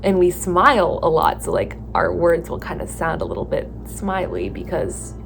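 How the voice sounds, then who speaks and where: A tired young woman from California with a Californian accent, talking slowly and thoughtfully about her stage work, with many fillers and restarts. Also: on the low side, big pitch swings, vocal fry, slight background noise, rough recording. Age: young adult. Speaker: theatre student vlogger. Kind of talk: casual reflective talk to camera.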